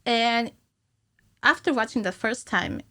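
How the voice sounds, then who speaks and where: A woman in her thirties explaining things informally and haltingly as a guest in a casual studio interview. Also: fairly high pitch, sharp tone. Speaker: podcast guest, cinematic animator. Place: small podcast studio indoors. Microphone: studio microphone on stand, with headphones.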